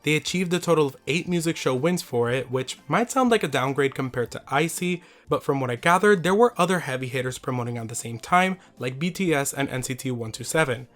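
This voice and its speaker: A man hosting a scripted video essay, his voice slightly raspy from losing it, reading with enthusiasm. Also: mid-range voice, animated, brisk, hardly any pauses, a bit bright, faint music behind.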